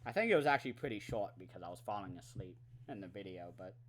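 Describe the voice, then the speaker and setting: A man's voice: lowish voice, animated, fast, slight background noise. A man chatting casually in a monthly movie vlog, rambling with many fillers and some swearing, his throat dry and his voice going. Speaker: movie vlogger. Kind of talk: casual monthly wrap-up chat.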